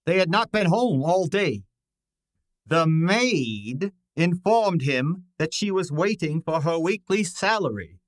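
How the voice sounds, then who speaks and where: An actor playing a pompous, demanding master detective in an old-time radio drama, speaking formally and precisely. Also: male voice, on the high side, lively intonation, takes it slowly, no room sound, studio quality. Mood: measured, dry. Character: pompous, demanding genius male detective boss.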